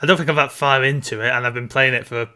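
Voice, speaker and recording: Bright, forceful man chatting. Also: mid-range voice, fairly even intonation, fast talker, clear, resonant tone. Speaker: podcast co-host. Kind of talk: casual chat about video game films and games.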